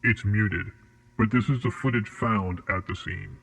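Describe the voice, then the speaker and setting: An actor in an audio drama playing a cold, composed, mysterious male interrogator, measured, formal and menacingly polite. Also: fairly low pitch, vocal fry, noisy background. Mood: calm, grave.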